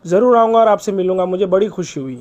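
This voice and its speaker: High-pitched, polite man on a call. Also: fast, a little mellow. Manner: polite, deferential, repeats himself for emphasis. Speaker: caller. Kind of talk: earnest phone conversation, persuasive appeal. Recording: recorded phone call.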